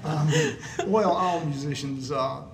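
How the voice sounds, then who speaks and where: A man's voice: fairly high pitch, a little slow. A middle-aged man, a musician, in a casual interview, rambling a little and repeating his phrases. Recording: distant mic, clearly noisy ambience.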